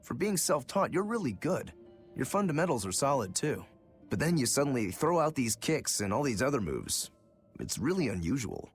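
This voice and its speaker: A man's voice: mid-range voice, very animated, moderate pace, soft background music, close to an everyday voice. An actor playing an easygoing, teasing male demon swordsman who likes his drink, in a video game. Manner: wry, teasing, laid-back. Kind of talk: English video game voice acting.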